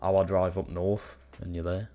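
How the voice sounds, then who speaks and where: A man's voice: low-pitched, little pitch movement, measured pace, mellow, muffled recording, soft background music. A young man with a Geordie accent commentating live on his football game, swearing and reacting to every pass. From Newcastle, England. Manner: sweary, frustrated reactions to play. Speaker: streamer playing a football career mode.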